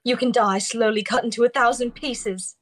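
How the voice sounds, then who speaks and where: A woman's voice: fairly high pitch, steady tone, normal speed, close to an everyday voice. A woman playing a defiant, grieving young noblewoman, dramatic and accusing, in a live script read. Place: indoors, on a group video call.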